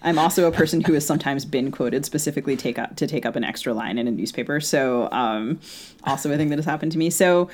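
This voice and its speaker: A woman's voice: low-pitched, quick pace, a little mellow. Woman author chatting on a podcast, kinda hesitant, keeps restarting her sentences as she thinks. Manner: hesitant, self-interrupting, thinking aloud. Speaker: novelist, podcast co-host. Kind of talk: casual interview conversation.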